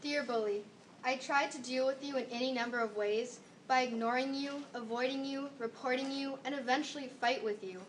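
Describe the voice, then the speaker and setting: Young girl doing readers theater, high voice, mic far off. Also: fairly even intonation, brisk. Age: about 12 to 13, seventh grader. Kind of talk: readers theater recital of a scripted monologue. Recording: distant mic, faint noise.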